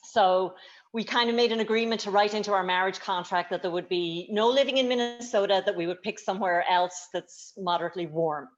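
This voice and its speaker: A woman's voice: medium pitch, a little fast, muffled recording. A woman from the south of Ireland with an Irish accent, telling her story with light humour in an interview. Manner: easy, lightly humorous storytelling. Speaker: guest, travel company co-founder.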